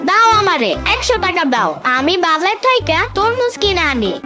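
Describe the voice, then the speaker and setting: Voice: very high-pitched, sing-song, normal speed. A performer voicing a cheeky, whiny young village boy in a cartoon, complaining dramatically with a Bangladeshi accent. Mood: pleading, cheeky. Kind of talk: Bengali cartoon voice-over. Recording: loud music behind the voice.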